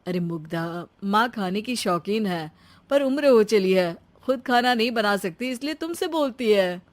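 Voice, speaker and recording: Casting: mild, reasoning husband, played by a woman, medium-pitched voice, family storybook drama. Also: lively intonation, a little slow, close to an everyday voice. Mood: reproachful. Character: mild adult husband defending his mother.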